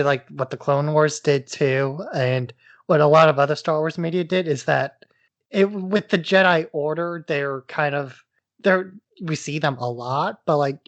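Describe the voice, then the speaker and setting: A man's voice: fairly high pitch, a bit bright. A man co-hosting a podcast with casual, conversational commentary and a wry, informal way of talking. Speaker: podcast co-host.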